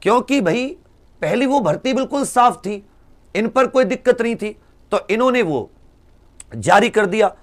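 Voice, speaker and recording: A man's voice: sits high, big pitch swings, moderate pace, long pauses. A man, a coaching teacher addressing students directly, emphatic and exhorting, with rhetorical questions and repeated phrases.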